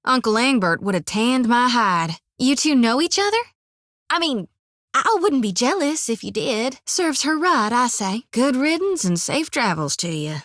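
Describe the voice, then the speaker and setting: A folksy young priestess. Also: female voice, a little high, no room sound. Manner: folksy drawl with country slang. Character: young devout woman priest, folksy and spirited. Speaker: companion character. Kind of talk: video game voice acting. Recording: dry studio recording.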